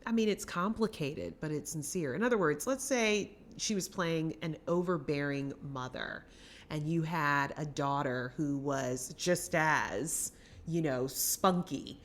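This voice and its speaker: A woman's voice: low-pitched, unhurried. A woman co-hosting a film podcast, giving opinionated, emphatic takes in casual conversation.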